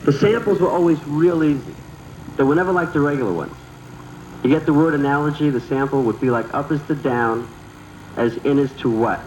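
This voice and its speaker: A man's voice: a little high, brisk, darkish tone. A man performing an observational stand-up comedy routine. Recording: noisy, echoey old video tape playback.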